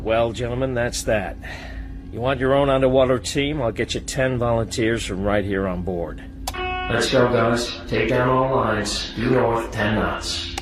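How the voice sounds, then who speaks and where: A man's voice: medium pitch, steady tone, a little slow, music in the background, natural voice. An American man playing a folksy, easygoing submarine captain in a radio drama, with an informal, wry drawl. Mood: commanding, wry.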